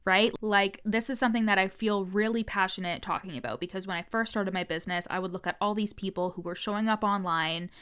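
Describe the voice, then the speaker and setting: Woman coach podcasting, smooth clear voice, blunt and swears a lot, has a stutter. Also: medium pitch, a little fast, few pauses. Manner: frank and energetic, swears freely. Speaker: business coach and podcast host. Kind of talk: casual podcast monologue.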